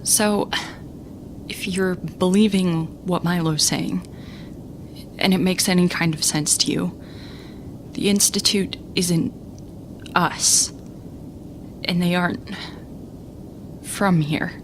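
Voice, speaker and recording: A woman's voice: fairly low pitch, steady tone, slow, pauses often, background noise. A woman playing an exasperated young space captain who narrates her story in a sarcastic, conversational way for an audio drama. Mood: weary, earnest. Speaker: narrator and lead character.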